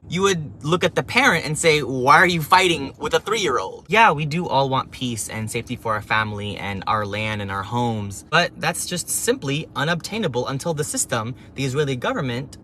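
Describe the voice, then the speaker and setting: A man's voice: medium pitch, bright, forceful tone, a little hiss or hum. A young man speaking earnestly in a scripted opinion video, building his points through emphatic lists. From Palestinian diaspora. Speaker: commentator.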